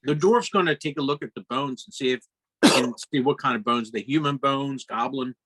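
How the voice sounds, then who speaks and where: A man's voice: mid-range voice, normal speed, fairly bright tone, slightly rough, no echo, poor audio. A man talking casually as a player in a tabletop roleplaying game.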